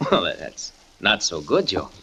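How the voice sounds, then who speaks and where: A man's voice: sits low, huge pitch swings, slow, creaky, pushed and bright, husky, heavily acted, low-quality recording. An actor playing a cocky, genial young male trapeze performer in a 1940s radio drama, breezy and teasing. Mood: concerned. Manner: breezy, teasing, big-brotherly.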